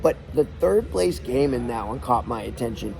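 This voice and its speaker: A man's voice: mid-range voice, normal speed, creaky, music in the background. A man co-hosting a podcast, chatting energetically in a casual recap of sports results and leading the talk. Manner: energetic, talkative, leads the segments. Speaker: podcast co-host.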